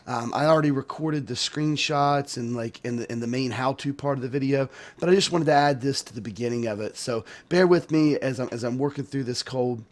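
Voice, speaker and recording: A man with a sore throat and a hoarse, tired voice hosts his sim racing channel, talking casually with many restarts and fillers. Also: mid-range voice, brisk, hardly any pauses. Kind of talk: casual talk to camera.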